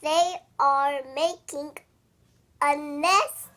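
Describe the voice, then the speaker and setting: A child voicing a cheerful, helpful little girl piglet from a picture book, announcing things in drawn-out words. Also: high-pitched, steady tone, a bit bright, heavily acted.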